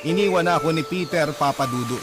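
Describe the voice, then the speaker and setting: A man's voice: fairly high pitch, fast talker. A man narrating a dramatic first-person story as a radio storyteller. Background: loud music.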